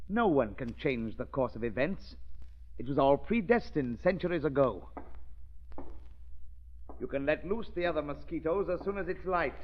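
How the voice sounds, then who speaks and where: An actor playing a cold, scheming villain with deliberate menace, in 1930s radio drama style. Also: male voice, fairly high pitch, animated, natural voice. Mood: gloating menace. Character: cold, scheming male host-villain with a revenge obsession. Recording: muffled 1930s radio recording.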